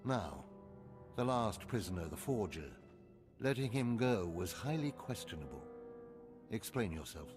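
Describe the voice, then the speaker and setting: An actor playing a stern, haughty older Sith overseer in a video game, clipped, lecturing and condescending. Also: male voice, on the low side, sing-song, unhurried, pauses often. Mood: stern, critical. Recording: loud music behind.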